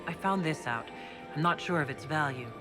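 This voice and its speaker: A man's voice: a little high, moderate pace, natural voice. A man voicing a male leader in a video game, asking plain, direct questions. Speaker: player character leader. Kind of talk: video game cutscene dialogue. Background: orchestral music.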